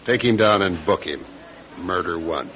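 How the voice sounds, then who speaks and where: A man's voice: low voice, lively intonation, normal speed, a bit bright. An American man acting as a skeptical, blunt, authoritative police captain in a radio crime drama. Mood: grave, stern. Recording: dull, lo-fi radio recording.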